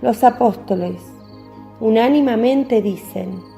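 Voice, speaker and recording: A woman narrating a scripted religious story in a measured, descriptive reading. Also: fairly high pitch, creaky, dark tone, faint music behind.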